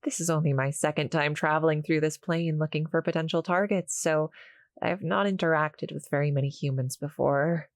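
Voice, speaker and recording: Woman as a low-voiced, shy cuddle-monster girl. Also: steady tone, normal speed, no echo, clean studio sound. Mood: nervous, confiding. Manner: hesitant, soft-spoken, polite, apologetic. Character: shy, gentle female cuddle-monster succubus. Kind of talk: intimate roleplay audio acting to a listener.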